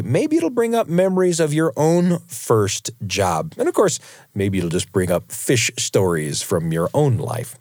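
A man hosting a storytelling radio show, delivering scripted introductions in an expressive, animated way. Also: medium pitch, no room sound, clean studio sound. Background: faint music.

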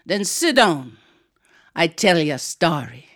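Woman acting a regal deep-voiced aunt. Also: sing-song, takes it slowly, gravelly, fairly bright tone, exaggerated voice. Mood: commanding. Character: very tall, regal Caribbean aunt, stern and teasing.

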